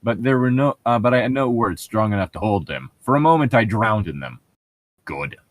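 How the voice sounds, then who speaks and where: Low-voiced man, lively, joking and swearing. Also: fairly quick. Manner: profane, jokey, rambling. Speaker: host of a comedic book-reading show. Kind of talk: casual mocking read-along commentary.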